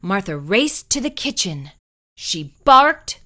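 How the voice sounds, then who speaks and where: Slow, expressive storybook reader woman. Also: mid-range voice. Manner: slow, expressive read-aloud delivery. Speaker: children's storyteller. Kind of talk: reading a picture book aloud.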